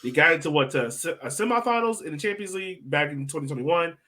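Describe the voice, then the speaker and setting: A man's voice: on the high side, expressive, fast, bright, forceful tone. A man hosting a casual soccer podcast, thinking aloud and steering the discussion.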